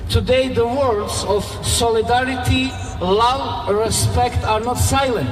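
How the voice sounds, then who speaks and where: High-voiced Bosnian man speaking at a rally. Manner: slow, measured oratory with fervent raised passages. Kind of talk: rally speech. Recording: echoey public-address sound from far off, music behind.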